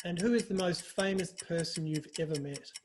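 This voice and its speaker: High-voiced man, teasing mock-formal host. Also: moderate pace, noisy background. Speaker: interview host. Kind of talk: scripted quick-fire comic interview.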